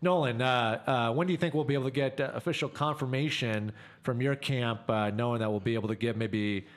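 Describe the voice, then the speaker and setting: A man's voice: mid-range voice, a little fast. A man hosting a casual radio interview. He keeps repeating his words and filling the gaps with "uh". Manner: hesitant, repeats words, many fillers. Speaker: radio show host.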